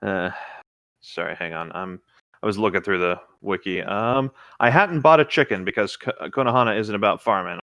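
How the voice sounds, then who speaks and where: A man with a slightly low voice reads a story aloud in a casual manner, dropping in light joking remarks. The sound is close and dry. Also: brisk, fairly bright tone, no room sound. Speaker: co-host reading internet horror stories aloud. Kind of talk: casual story read-along with joking asides.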